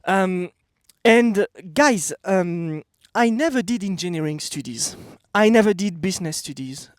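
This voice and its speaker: A man's voice: sits high, no room sound, clean studio sound. A man in his thirties with a French accent, giving a blunt, emphatic motivational talk to camera outdoors. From France. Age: 30s. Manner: blunt, emphatic, repeats "okay?". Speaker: vlogger.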